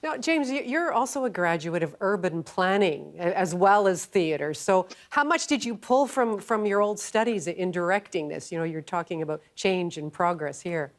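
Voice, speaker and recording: Curious woman interviewer with a low voice. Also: expressive, moderate pace, few pauses. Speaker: interviewer, host. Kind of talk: conversational broadcast interviewing.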